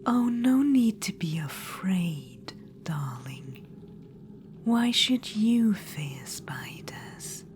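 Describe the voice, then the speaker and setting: A woman voicing an ancient, vain and scheming undead witch-queen in a whispered ASMR roleplay. She whispers softly and unhurriedly, sounding condescending and calling the listener darling. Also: on the low side, a little hiss or hum.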